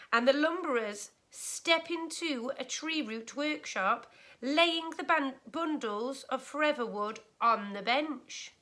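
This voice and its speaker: A woman teacher reading a storybook aloud to a class of children, expressively and with deliberate, explanatory care. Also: fairly high pitch, pushed and bright. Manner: clear, deliberate explaining to young listeners.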